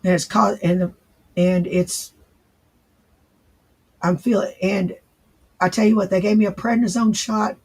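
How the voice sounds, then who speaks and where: A man with a hoarse, raspy voice from laryngitis, rambling and swearing in a casual vlog reply. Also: high-pitched, flat delivery, a little slow, long pauses, low-quality recording. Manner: rambling, profane, folksy.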